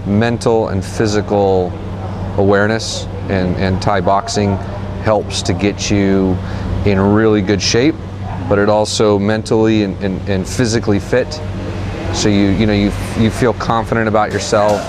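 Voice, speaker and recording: A man in his thirties being interviewed at an open-air training gym, talking in a halting way with many restarts. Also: lowish voice, little pitch movement. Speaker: gym staff member. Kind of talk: on-camera interview. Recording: outdoor noise behind the voice.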